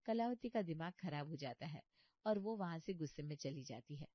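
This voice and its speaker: A woman's voice: low voice, expressive, moderate pace, no room sound, highs cut off. A woman reading a scripted story as its narrator.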